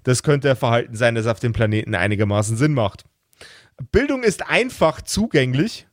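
A man's voice: medium pitch, animated, normal speed, dry recording, studio quality. A man talking casually as a podcast host and game master, thinking aloud and often repeating words as he builds a fictional world.

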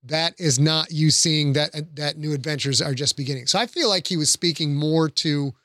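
A man's voice: on the high side, normal speed, a bit bright, dry recording. A man on a podcast panel, speaking casually and earnestly, making encouraging points with illustrative examples.

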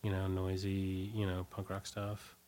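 A man being interviewed in a casual, rambling way, halting and often restarting his sentences. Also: deep voice, completely flat delivery, a little mellow. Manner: rambling, halting, many false starts. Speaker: interview guest, punk band singer. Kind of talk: casual conversational interview, recollecting.

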